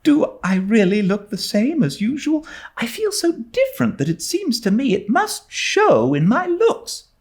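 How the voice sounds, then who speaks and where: Male narrator as a rapturous little girl, pitched way up, breathy, awestruck, gushing, storybook character. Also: huge pitch swings, a little slow, full character voice. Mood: breathless wonder. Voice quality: breathy, airy. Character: dreamy, rapturous, imaginative young girl.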